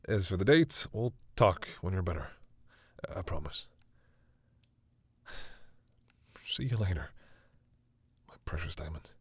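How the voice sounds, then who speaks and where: A deep-voiced male vampire, acted by a man in an intimate audio roleplay. He is protective and devoted, yet stammers bashfully as he talks to the human he loves. The sound is very dry and close, with a slightly soft-edged, breathy delivery. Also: expressive, moderate pace, dry recording. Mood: tender, reassuring.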